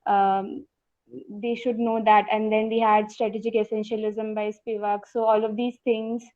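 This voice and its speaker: A woman's voice: medium pitch, little pitch movement, normal speed, a little mellow, no room sound. A young woman answering interview questions over a call, hesitant with many fillers and polite to the interviewer. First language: not Hindi. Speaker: interview guest, successful exam candidate. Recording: dry tinny phone-like line.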